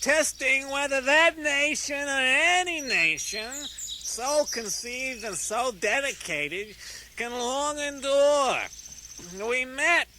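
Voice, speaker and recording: A doddering statesman in a comic radio play. His voice is very high and sharp, and he orates very slowly, losing his thread and clearing his throat. A faint chirping noise sits behind him. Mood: earnest oratory. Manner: halting, flustered, throat-clearing oratory. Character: doddering forgetful Abraham Lincoln giving a speech. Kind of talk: comic radio theater acting.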